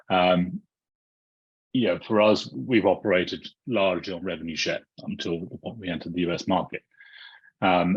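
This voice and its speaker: Husky deep-voiced man on a call. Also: no room sound. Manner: wry, thinking aloud with many restarts. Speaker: panelist. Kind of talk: online panel discussion. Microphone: video call. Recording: muffled dry video call audio.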